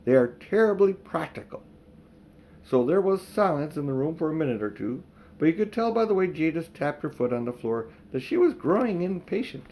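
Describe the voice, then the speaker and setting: Older guy reading a kids book out loud, kinda high voice, informal storytime. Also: animated, a little fast. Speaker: audiobook reader.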